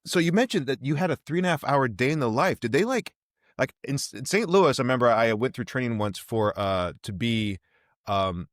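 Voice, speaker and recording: A man's voice: mid-range voice, expressive, brisk, no echo, studio quality. A man hosting a podcast, interviewing in a casual, conversational style.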